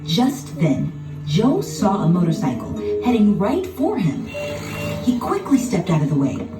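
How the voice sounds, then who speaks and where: A woman's voice: lowish voice, wildly swooping pitch, measured pace. A woman reading scripted children's story narration as a storybook narrator. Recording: roomy, distant playback with music behind.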